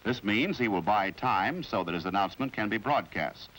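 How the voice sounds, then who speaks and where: Lively 1950s American male documentary narrator. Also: on the low side, rough recording. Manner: formal, measured, explanatory delivery. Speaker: narrator of a training film. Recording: vintage 1950s film soundtrack with music.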